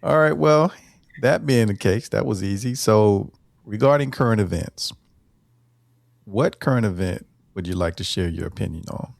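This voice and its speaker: A man hosting an interview, asking questions in a relaxed, affirming way. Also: fairly low pitch, moderate pace, gravelly, dry recording. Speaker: interviewer, host.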